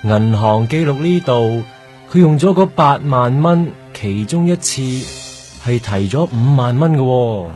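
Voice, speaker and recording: An actor with a Hong Kong accent playing a keen, dutiful junior detective in a radio drama, reporting casually and eagerly. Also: male voice, mid-range voice, lively intonation, unhurried, darkish tone, faint music behind, natural voice. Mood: attentive. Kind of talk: Cantonese radio drama acting.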